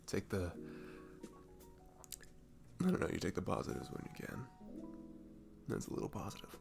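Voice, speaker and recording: A man's voice: low voice, big pitch swings, normal speed, vocal fry, music in the background, full character voice. A man playing a sweet, cute barista in an intimate ASMR roleplay, chatting in a rambling, self-deprecating and hesitant way. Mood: soothing, reflective. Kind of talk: intimate ASMR roleplay, soft improvised-sounding chat.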